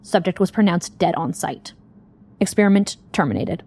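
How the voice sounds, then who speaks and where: A woman's voice: on the low side, expressive, moderate pace, darkish tone, breathy edge. A woman acting in an audio drama as a guilt-ridden young woman searching for her missing sister. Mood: clinical, reading aloud.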